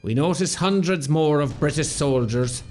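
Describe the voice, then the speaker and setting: An actor playing a proud rebel veteran, giving measured, scripted storytelling narration in a radio play. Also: male voice, on the high side, a little mellow, faint music behind, close to an everyday voice. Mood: proud reflective. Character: proud Irish rebel veteran recounting a battle.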